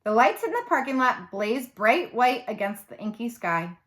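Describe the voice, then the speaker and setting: A woman narrating a children's novel aloud like a teacher, with expressive storytelling. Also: a little high, moderate pace.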